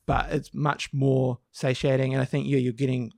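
A man co-hosting a podcast with a croaky voice, explaining things at length in a casual chat with frequent restarts. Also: mid-range voice, level tone, normal speed, no echo, clean studio sound. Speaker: podcast co-host. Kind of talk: casual discussion.

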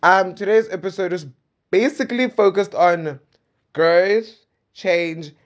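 A man's voice: high voice, unhurried, muffled recording. A South African man in his twenties hosting a personal diary podcast, talking casually and candidly in a conversational way with playful asides. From South Africa, suburban Centurion. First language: Pedi. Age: 20s. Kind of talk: casual confessional monologue.

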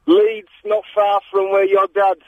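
A man's voice: sits high, big pitch swings, measured pace, no room sound. A man with a Yorkshire accent, a caller to a radio phone-in, chatty and eager as he tells his story. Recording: tinny phone line.

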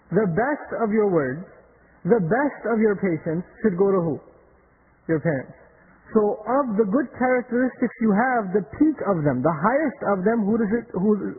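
A man's voice: high voice, slightly rough. Male religious lecturer, native Urdu speaker, emphatic, repeats himself for stress, phone-like audio. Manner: emphatic, repeats words, rhetorical questions. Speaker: Islamic lecturer.

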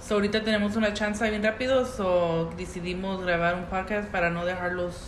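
Woman, Mexican-American Spanglish accent, chatty, code-switching, middle pitch, roomy echo. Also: steady tone, brisk. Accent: Mexican-American Spanish, Spanglish. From United States. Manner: chatty, laughs easily, switches into English. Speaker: podcast co-host. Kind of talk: casual bilingual chat. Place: workplace. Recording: roomy echo, faint background noise.

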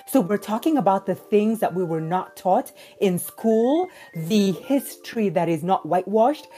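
A woman's voice: medium pitch, mellow. A woman from Kenya speaking as a panelist, explaining things in an articulate, earnest way. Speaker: podcast host, panelist. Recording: live audio-app chat, faint music.

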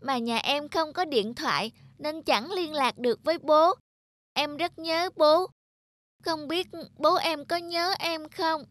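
A performer voicing a small, innocent, wistful child in an animated folk tale, polite and earnest in manner. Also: sits high, slow, no echo.

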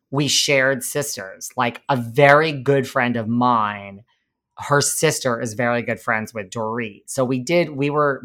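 A man's voice: mid-range voice, sharp tone. A man from Connecticut and New York with a slight New York accent, chatting as a podcast guest in a rambling, campy way. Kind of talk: casual interview chat.

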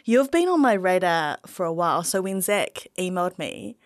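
A woman's voice: on the low side, sing-song, normal speed, dry recording, studio quality. A woman hosting a casual podcast interview, friendly and laughing often. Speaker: podcast host.

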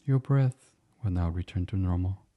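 A man guiding a bedtime meditation as a podcast host, speaking softly and soothingly in an unhurried, hushed, breathy way. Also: low-pitched. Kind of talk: guided meditation, gentle bedtime reading.

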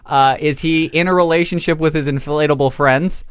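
A man's voice: a little high, steady tone, moderate pace, a bit bright, clean tone, no echo, studio quality. A man hosting a podcast, running a guessing game with crude jokes. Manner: crude, joking, runs the game. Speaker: podcast host. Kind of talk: casual podcast banter.

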